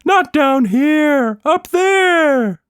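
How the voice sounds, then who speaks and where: A man voicing a friendly, excitable shapeshifting creature for a children's story, with a squeaky cartoonish voice and drawn-out words. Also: no room sound, clean studio sound, heavily acted. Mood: excited.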